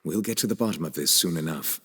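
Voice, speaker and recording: An actor playing a stern, composed chief justice in a game cutscene, measured and commanding. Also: male voice, deep voice, sharp tone, a little hiss or hum. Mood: grave. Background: music score.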